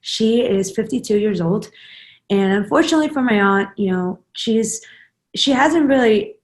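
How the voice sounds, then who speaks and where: A woman's voice: fairly high pitch, lively intonation, normal speed. Woman in her 20s, interview guest, loose rambling delivery with lots of ums and restarts. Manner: rambling, many fillers and restarts. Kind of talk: casual interview chat.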